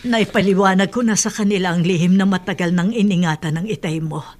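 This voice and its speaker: An actress playing a concerned, gentle older family confidante in a Tagalog radio drama, hesitant. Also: female voice, on the low side, fairly quick, natural voice.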